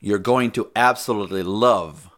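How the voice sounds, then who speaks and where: A man's voice: on the low side, moderate pace. A man hosting a talk show, giving a brash, teasing monologue. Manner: brash, teasing, lively delivery. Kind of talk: casual monologue.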